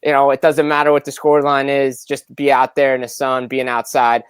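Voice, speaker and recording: A man's voice: mid-range voice, monotone, moderate pace, clean tone, no echo. A man hosting a sports podcast in a casual, conversational style. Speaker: podcast host.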